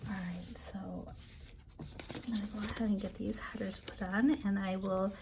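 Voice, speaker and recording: A woman with a raspy, allergy-hoarse voice chatting casually as she decorates her planner, relaxed and full of ums. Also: mid-range voice, noisy background. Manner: slow, relaxed, chatty with frequent ums and asides. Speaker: planner vlogger. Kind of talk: casual plan-with-me narration.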